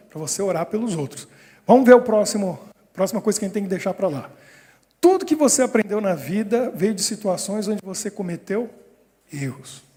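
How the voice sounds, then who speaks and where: Brief: pastor, high mellow voice, chuckling storyteller, reverberant hall. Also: male voice. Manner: lively storytelling with frequent chuckles. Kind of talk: sermon. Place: church hall.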